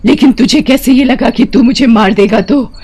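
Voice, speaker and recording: A woman's voice: on the high side, moderate pace, mellow, husky, voice pushed up. A menacing witch, the ghost of a wronged mother, put on by a woman narrator. She speaks in vengeful threats, in the style of a spooky storybook tale.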